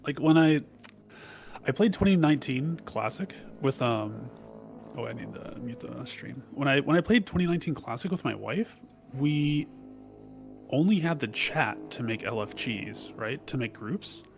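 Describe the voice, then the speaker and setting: Mid-pitched man, emphatic gamer chatter. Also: animated, normal speed, gravelly, faint music behind. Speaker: voice chat participant. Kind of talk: casual gaming chat.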